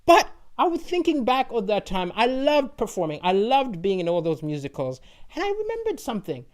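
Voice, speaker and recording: A man with a notably high-pitched voice, a comedian chatting casually and rambling to an online audience, often tagging lines with "right?". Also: wildly swooping pitch, brisk.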